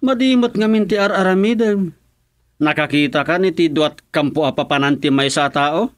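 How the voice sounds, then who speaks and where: A man's voice: fairly high pitch, normal speed, dry recording, clean studio sound. A man with an Ilocano accent playing a village chairman in a comedy radio drama, stern and scolding.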